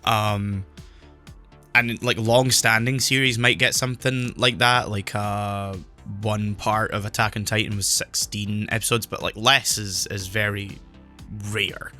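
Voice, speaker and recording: A man's voice: fairly low pitch, fairly even intonation, measured pace, bright, forceful tone, soft background music. A man co-hosting a casual podcast whose voice is still recovering after he lost it, rambling with many fillers and restarts while he records remotely on a new microphone. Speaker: podcast co-host. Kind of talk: casual chat.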